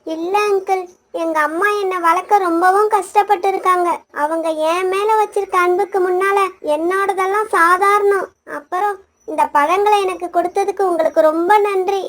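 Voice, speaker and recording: Woman as a very high-pitched little boy bird. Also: fairly even intonation, normal speed, fairly bright tone. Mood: polite, grateful. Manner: polite, earnest. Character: kind, dutiful young male bird, loves his mother. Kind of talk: acted children's moral story cartoon.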